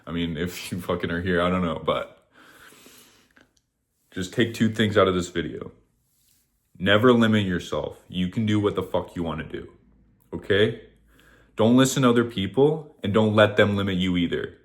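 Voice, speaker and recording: A man's voice: low voice, normal speed, long pauses. A man in his thirties giving a casual, rambling motivational talk to camera, swearing often and shrugging things off with "whatever.". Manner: rambling, profane, repeats "whatever". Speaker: vlogger.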